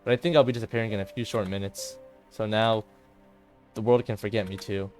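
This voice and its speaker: A man's voice: lowish voice, steady tone, brisk, a little mellow, faint music behind, lightly acted. A man playing a despairing teenage girl club president, subdued, hesitant and self-blaming, in a visual novel drama.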